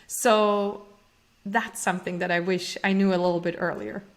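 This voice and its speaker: A woman's voice: on the low side, fairly even intonation, moderate pace. Austrian-born woman, earnest startup founder. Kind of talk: interview answers.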